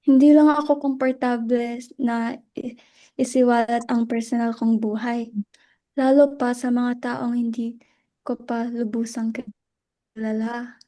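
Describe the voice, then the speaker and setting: Woman playing a grieving widow, high-pitched voice, emotional, defensive, halting, dramatic reading. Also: flat delivery, warm tone, natural voice. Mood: guarded, uneasy. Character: Luella, guarded, emotional widow defending her dead husband.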